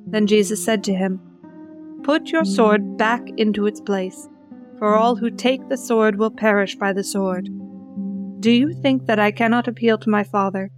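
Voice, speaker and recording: A woman narrating, giving a dramatic reading from the Bible. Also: a little high. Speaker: scripture narrator. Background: plucked guitar music.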